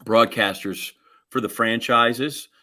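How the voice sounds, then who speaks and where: A man's voice: mid-range voice, fairly even intonation, slow pace, sharp tone. A man, chatting casually and telling rambling, folksy anecdotes as a podcast co-host. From Wisconsin, United States.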